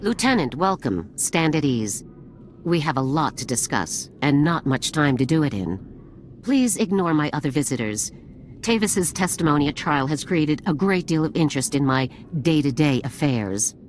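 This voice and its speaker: Casting: stern female general, low voice, clipped commanding orders, game cutscene with background noise. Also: lively intonation, normal speed. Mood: stern, businesslike. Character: stern older female military general, authoritative and brisk. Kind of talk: scripted video game acting.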